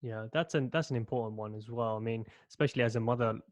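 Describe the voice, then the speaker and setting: A man hosting an interview podcast, giving calm, brief responses. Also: medium pitch, fast, dry recording. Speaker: podcast host. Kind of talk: conversational interview.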